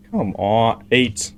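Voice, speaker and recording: A man chatting casually as a player in a tabletop role-playing game. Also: medium pitch.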